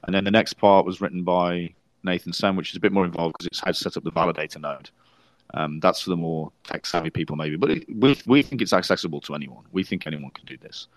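Low-voiced man co-hosting a podcast. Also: fast, no echo, rough recording. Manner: explains plans at length, many fillers and restarts. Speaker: podcast co-host. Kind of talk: casual podcast chat.

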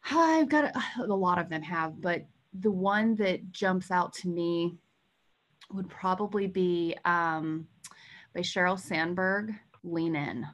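A woman in her thirties with an American accent, speaking in an animated, informal way as a guest in a casual interview. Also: on the low side, takes it slowly. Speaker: podcast guest, sales coach.

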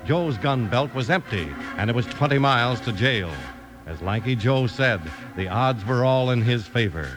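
A man's voice: medium pitch, expressive, moderate pace, music in the background. A man with an American accent announcing from a script in 1950s radio style, with a folksy delivery. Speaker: announcer and narrator.

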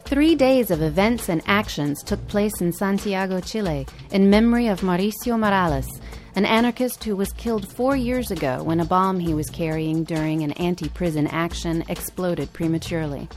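A woman with a fairly low voice reads scripted news as a podcast host. Her delivery is measured and clear, with faint music running underneath. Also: darkish tone.

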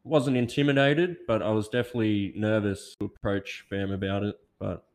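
A man's voice: fairly low pitch, steady tone, moderate pace, a little mellow. A man co-hosting a casual podcast, easygoing, chiming in with short replies. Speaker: podcast co-host. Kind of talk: casual chat.

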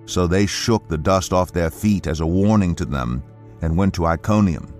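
A man's voice: low-pitched, normal speed, darkish tone, faint music behind. A man reading scripture as an audio Bible narrator, in a scripted narration style.

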